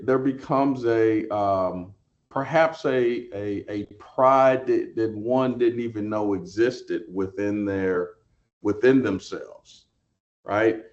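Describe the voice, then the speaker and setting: A man on a webinar panel answering a question, slow and halting as he searches for words. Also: mid-range voice, a little mellow. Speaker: museum representative, panelist. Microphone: video call. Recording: muffled video call audio.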